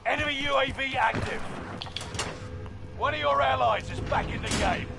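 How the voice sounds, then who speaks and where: Commanding combat announcer for a video game, very high pitch, clipped orders over loud music. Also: female voice, moderate pace, vocal fry. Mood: urgent, commanding. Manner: terse, commanding. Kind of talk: scripted military game voice-over.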